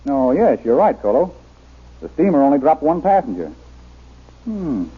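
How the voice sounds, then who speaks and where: An actor playing a brave, plain-spoken jungle hero in a radio adventure serial, earnest, courteous and steady. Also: male voice, a little high, normal speed, husky. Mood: steady, easygoing. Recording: muffled old radio broadcast recording.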